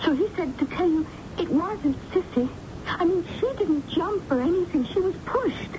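An actress playing an earnest, anxious young daughter, halting and emotional, in a 1970s radio drama. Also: female voice, very high voice, expressive. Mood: uneasy, hesitant. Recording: muffled, noisy 1970s radio broadcast.

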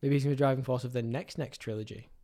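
A man's voice: mid-range voice, steady tone, rapid-fire, mellow. Man, podcast co-host, nasal and stuffy, easygoing chat. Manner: chatty, laughs easily. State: stuffy nose. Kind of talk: casual chat.

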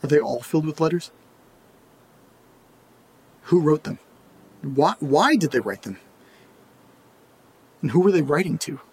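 Male actor as uneasy night-time loner in audio drama. Husky, slightly high, very slow, halting. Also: expressive, darkish tone. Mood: troubled, puzzled. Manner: hesitant, halting, muttering to himself. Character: uneasy, curious man alone at night in a creepy house. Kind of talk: first-person audio drama monologue.

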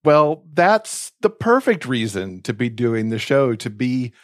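A man's voice: medium pitch, big pitch swings, a little slow, no room sound. Man co-hosting a podcast, dry close sound. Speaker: podcast co-host. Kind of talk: casual reflective podcast conversation.

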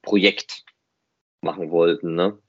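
A man's voice: low voice, steady tone, slow pace, slightly rough, dry recording, dull audio. A man co-hosting a podcast in casual conversation, explaining things informally and often tagging questions onto his sentences. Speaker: podcast co-host. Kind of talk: casual podcast chat about a hobby project.

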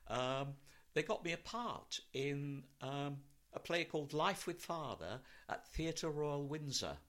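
A man's voice: a little high, lively intonation, takes it slowly, a bit bright. An older man with a British Received Pronunciation accent, telling anecdotes from his life in a conversational interview. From Wales, later London. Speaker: interview guest, actor.

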